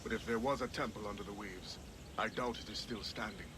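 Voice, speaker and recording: An actor playing a weary, grave Caribbean assassin reporting a disaster to an old friend in a game cutscene. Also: male voice, on the low side, fairly quick, lightly acted. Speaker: game character. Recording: game audio with ambient noise.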